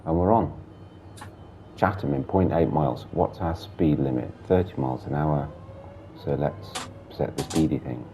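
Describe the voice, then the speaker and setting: Very deep gravelly man. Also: expressive, moderate pace, warm tone, soft background music. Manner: chatty, muttering through cab controls. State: sore throat, blocked nose. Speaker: gameplay streamer. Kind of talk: casual train sim commentary.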